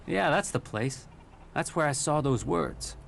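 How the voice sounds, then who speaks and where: A rough, creaky-voiced man of medium pitch, a game companion in a ruined sci-fi world. He talks casually and bluntly, with an irritable edge. Faint outdoor-like ambience sits behind him. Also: animated, measured pace, full character voice. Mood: musing. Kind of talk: video game dialogue acting. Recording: faint ambient noise with insects.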